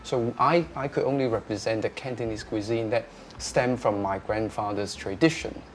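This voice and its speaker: A young man, answering interview questions as a restaurateur, pausing often with filler sounds. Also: lowish voice, steady tone, slight background noise. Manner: hesitant, many filler sounds.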